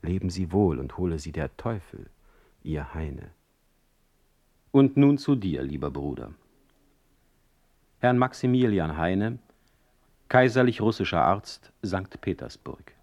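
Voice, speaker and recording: Radio drama: a middle-aged exiled poet, lowish voice, sardonic wit, ornate and mocking delivery. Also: male voice, big pitch swings, slow pace, long pauses, a little mellow, highs cut off, full character voice. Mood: wry, resigned. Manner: mocking, eloquent, ornate irony. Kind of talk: radio play acting with letter narration.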